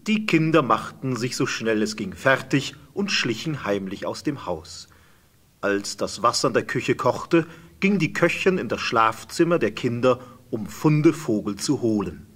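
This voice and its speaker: A man narrates a fairy tale in scripted storytelling style. His voice is husky and medium in pitch, with lively, wide intonation. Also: a little slow.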